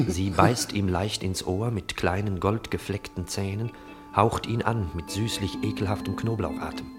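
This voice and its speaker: Theatrical male narrator over loud music. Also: low-pitched, normal speed. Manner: theatrical, swinging from hushed to declaiming. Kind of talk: dramatic scripted narration of a radio play.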